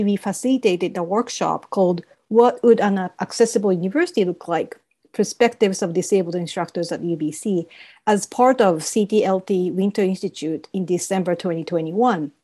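A woman's voice: medium pitch, dark tone. Japanese-accented woman presenting research. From East Asia. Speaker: university professor presenting research. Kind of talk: scripted presentation. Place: video call.

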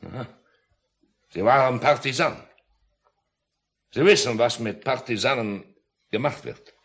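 An actor playing a curt, suspicious, commanding general in a 1950s radio play. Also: male voice, medium pitch, measured pace, a bit bright. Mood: stern, interrogating. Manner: clipped, stern.

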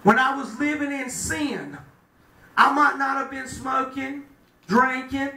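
A middle-aged man preaching a sermon at a pulpit, breaking into shouts and drawing call-and-response from the room. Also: very high voice, fairly even intonation, slow. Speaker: pastor. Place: small chapel room with a pulpit. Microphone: handheld mic, filmed by a far camera. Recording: echoey room from far off.

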